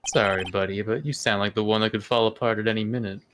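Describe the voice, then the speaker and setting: Low-voiced man playing a blunt, practical sidekick. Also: fairly even intonation, fast talker, background noise, natural voice. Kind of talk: video game dialogue read aloud. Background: game music.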